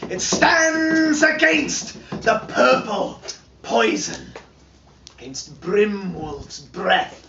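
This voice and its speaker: Storyteller, dramatic incantation, very slow delivery, theatrical dynamics from hush to cry, echoey distant recording. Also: mid-range voice, expressive, noisy background. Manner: theatrical, swinging from hushed whispers to loud cries. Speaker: storyteller performing a charm. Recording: echoey, dull distant live recording, loud drumming. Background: loud drumming.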